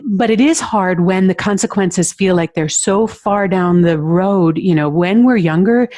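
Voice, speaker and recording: A woman's voice: mid-range voice, a little slow, a little mellow. A middle-aged woman, a nutrition coach, talking as a podcast guest in a thoughtful, reflective way with frequent hesitations. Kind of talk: casual interview chat.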